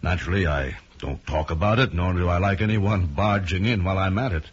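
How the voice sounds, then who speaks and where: A rich, influential smooth talker in a vintage radio play. His voice is low and husky, his manner placating and patronizing, and the recording is muffled. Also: a little fast. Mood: reassuring, smooth. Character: wealthy, influential, smooth-talking male investor. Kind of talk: mid-century radio drama acting.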